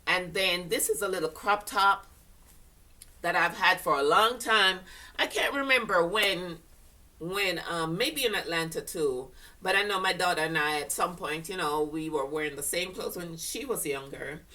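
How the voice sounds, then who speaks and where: A woman's voice: fairly low pitch, moderate pace, sharp tone, distant mic. An older woman with a Jamaican accent, chatting casually to camera with lots of fillers and a slightly stuffy, congested voice. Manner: chatty, rambling, with many fillers and laughs. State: congested sinuses. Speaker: fashion vlogger.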